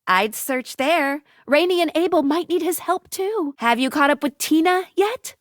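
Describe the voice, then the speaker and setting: A perky playful game helper gal, super high voice, bounces all over the place, upbeat n expressive. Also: female voice, normal speed, no room sound, clean studio sound. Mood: encouraging. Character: upbeat, playful female helper guiding the player. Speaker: game character guide giving hints. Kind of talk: scripted game voice lines.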